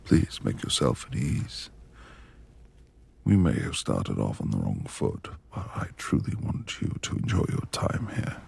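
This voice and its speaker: A man playing a brooding, reclusive beast of a manor lord in a romantic ASMR roleplay, gruff yet tender, with gravelly, breathy speech and formal old-fashioned phrasing. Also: extremely low pitch, sing-song, measured pace, a little mellow, full character voice. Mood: tender, courteous.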